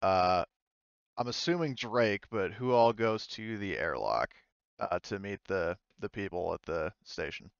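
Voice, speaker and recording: A man acting as game master, narrating a tabletop roleplaying game in a halting, conversational way. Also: low-pitched, lively intonation, creaky, clean tone, no room sound, dull audio.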